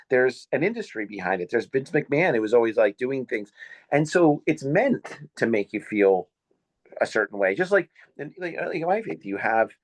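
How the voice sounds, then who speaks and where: A man's voice: medium pitch, no echo. Rambling man in his fifties, dry sound. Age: 50s. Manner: rambling, halting and full of fillers. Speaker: podcast host. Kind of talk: casual podcast conversation.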